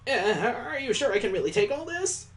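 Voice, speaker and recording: A man's voice: very high-pitched, big pitch swings, fairly quick, fairly bright tone, soft background music, pitched above the performer's own voice, heavily acted. A man voicing a flustered, sweet-toothed girl, a big sister to orphans, in a visual novel read-through. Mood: unsure.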